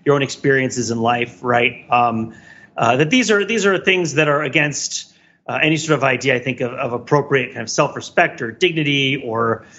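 A man's voice: mid-range voice, pushed and bright, lo-fi sound. A man talking as a podcast panelist in an emphatic, rambling, philosophical way, giving casual reflective commentary.

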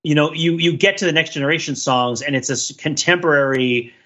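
Bright, forceful man thinking aloud. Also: fairly high pitch, a little fast, highs cut off. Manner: discursive, thinking aloud, hedging with many asides. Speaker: podcast co-host. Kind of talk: casual panel discussion, analytical.

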